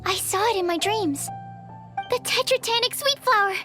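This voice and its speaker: A woman voicing an earnest, excitable young alchemist in a video game, with gushing, nerdy enthusiasm. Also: extremely high pitch, moderate pace. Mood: rapturous. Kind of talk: animated video game acting. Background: music.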